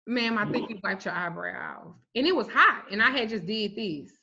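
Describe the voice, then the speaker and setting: A woman in her forties, a spiritual reader, telling stories on a livestream in a blunt, profane, animated way. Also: mid-range voice, normal speed, gravelly. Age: 40s. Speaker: spiritual reader and root worker. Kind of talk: casual livestream talk. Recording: muffled lo-fi stream audio.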